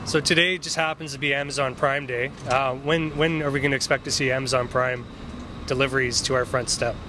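A man's voice: mid-range voice, steady tone, a little fast. A man in his thirties interviewing someone outdoors in a promotional style, speaking into a handheld microphone. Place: outdoors on a busy city street. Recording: clear street and traffic noise.

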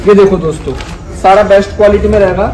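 High-pitched young North Indian salesman, echoey room. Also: steady tone, fast, darkish tone. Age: 20s. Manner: repetitive salesman's patter, addressing viewers as friends. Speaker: wholesale clothing seller and vlogger. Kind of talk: informal sales pitch to camera. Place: large indoor warehouse full of clothing bales. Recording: echoey room with clear background noise.

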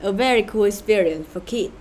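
A woman's voice: mid-range voice, a little mellow, a little hiss or hum, poor audio. Vietnamese-accented woman gaming channel host. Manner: sighing, sarcastic asides, halting and unhurried. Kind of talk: casual gameplay commentary.

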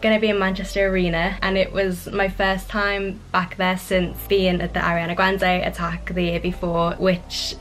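A woman's voice: on the low side, little pitch movement, normal speed, hardly any pauses, a bit bright, faint music behind, rough recording. A woman, speaking earnestly in an interview from her bedroom and laughing often. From England. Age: 20s. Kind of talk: sit-down interview. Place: bedroom with photo wall.

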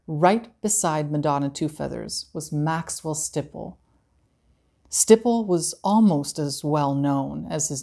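A young adult man reading a mystery story aloud with steady, expressive storytelling, recorded on a lapel mic in a living room. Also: fairly high pitch. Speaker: reader. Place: living room by a brick fireplace.

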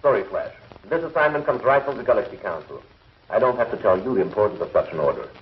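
A man's voice: fairly low pitch, expressive, fast talker, a little hiss or hum, lightly acted. A middle-aged man acting a stern commanding officer in a 1950s TV science fiction serial. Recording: echoey, muffled old film soundtrack.

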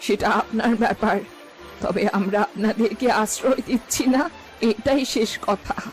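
A woman's voice: a little high, normal speed, close to an everyday voice. A woman playing emphatic, melodramatic village women in a cartoon folk tale. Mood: firm, refusing. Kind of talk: Bengali cartoon fairy-tale voice acting. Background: background music.

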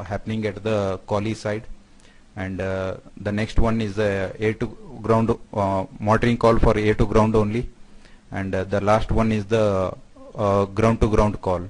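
A man narrating a software product demo step by step in a halting, explanatory way. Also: fairly low pitch, dry recording.

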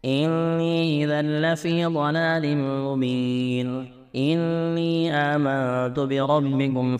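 A man reciting the Quran melodically in a measured chant, drawing out the ends of phrases. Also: medium pitch, clear, resonant tone.

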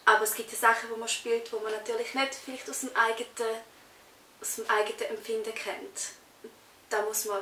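A woman's voice: mid-range voice, sharp tone. A young adult woman with a Swiss German accent, giving casual interview answers. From Switzerland. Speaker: interviewee, actress. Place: small indoor room, on a sofa. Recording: roomy echo, distant mic, faint hiss.

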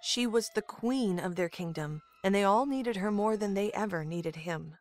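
A woman's voice: medium pitch, normal speed, a little mellow. A woman narrating a scripted dramatic story as the narrator of an audio drama. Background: music.

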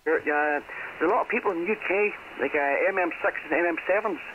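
A man's voice: fairly high pitch, fast talker. A man with a British accent chatting casually as a ham radio caller, heard through a shortwave radio transmission. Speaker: amateur radio operator, caller. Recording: noisy, muffled shortwave radio reception.

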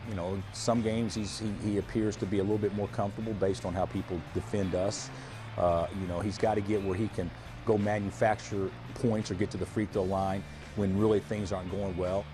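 A man's voice: fairly low pitch, little pitch movement, brisk, music in the background. A man in his forties, a college basketball coach, answering TV interview questions frankly, often restarting and repeating words. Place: studio remote booth.

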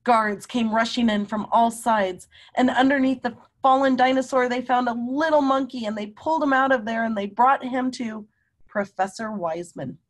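A middle-aged woman, a teacher, reads a children's story aloud expressively and emphatically, pausing to question and explain. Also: fairly high pitch. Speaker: teacher reading aloud to students. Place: small room at home.

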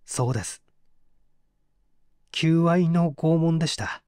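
Guy doing a haunted murder suspect confessing, slow and dramatic, dry close sound, audio drama. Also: on the high side, darkish tone, clear, resonant tone, dry recording. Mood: anguished, resigned. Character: tormented male murder suspect confessing, weary and fatalistic. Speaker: voice actor reading a story. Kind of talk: dramatic audio reading of a mystery.

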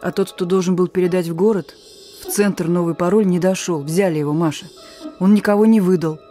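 An actress playing a plucky young partisan courier in a radio drama, speaking in a familiar, teasing, warm-hearted way. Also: female voice, lowish voice, steady tone, brisk, soft background music, lightly acted. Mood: frank, subdued. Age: young adult.

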